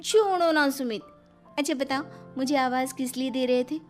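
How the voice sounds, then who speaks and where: A woman's voice: sits high, moderate pace, natural voice. A woman voicing a playful young daughter-in-law in a cartoon story, breezy and a little swaggering. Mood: cheerful, breezy. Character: cheeky playful young wife, swaggering film-hero fan. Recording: music behind the voice.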